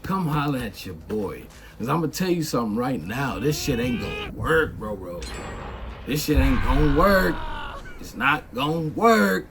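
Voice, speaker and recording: A man streamer ranting in loud, emphatic, profane commentary. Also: medium pitch, sing-song, moderate pace, vocal fry, noisy background. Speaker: game streamer.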